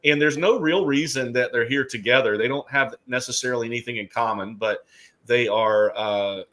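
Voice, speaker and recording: A middle-aged man from Alabama hosts a relaxed discussion. He talks in a chatty, joking way with plenty of fillers, at an ordinary pitch. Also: moderate pace. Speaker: host. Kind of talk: casual chat.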